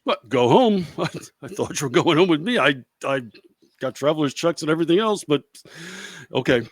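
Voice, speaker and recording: A man's voice: a little high, lively intonation, moderate pace, no echo. A man playing a roguish, bumbling fortune-telling professor in a comedic radio play, with hesitant patter and wry asides. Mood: flustered.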